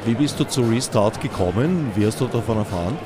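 A man's voice: lowish voice, animated, fairly quick, music in the background. A man interviewing exhibitors at a fair with brief, direct questions. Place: busy indoor fair hall.

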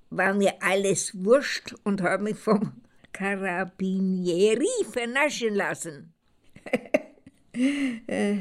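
An actress playing a reflective middle-aged woman looking back on her marriage in a halting, musing audio drama monologue. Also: fairly low pitch. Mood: wry, amused. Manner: halting, musing, pausing to think.

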